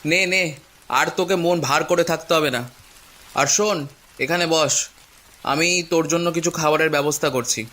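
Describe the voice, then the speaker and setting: An actor voicing a bossy but kind-hearted village man in a cartoon, brisk and expressive. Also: fairly high pitch. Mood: coaxing. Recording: faint rain noise behind voice.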